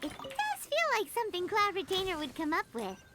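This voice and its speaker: Squeaky fairy sidekick. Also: female voice, fairly quick, exaggerated voice. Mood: cheerful. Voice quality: squeaky, bubbly, excitable. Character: tiny chirpy female floating fairy companion. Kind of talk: video game voice acting. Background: game music.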